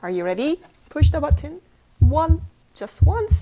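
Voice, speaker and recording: A woman with a high voice reads a picture book aloud as a teacher of young children. Her delivery is slow and swings widely in pitch. She is playful, asks the listeners questions and repeats phrases for them. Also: narrow, tinny audio. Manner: animated, playful, with exclamations and sound effects.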